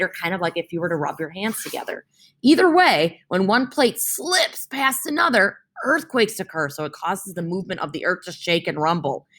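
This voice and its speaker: A woman's voice: on the low side, fairly bright tone. A young woman teacher reading a science lesson aloud for her class, explaining with homely comparisons and often checking in with "right?".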